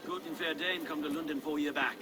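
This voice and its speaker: A man's voice: fairly high pitch, fairly even intonation, fast talker, crowd noise, natural voice. A man playing a patient, courteous medieval townsman in a game, folksy and polite as he keeps order. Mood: reassuring, helpful. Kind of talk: dramatic game acting.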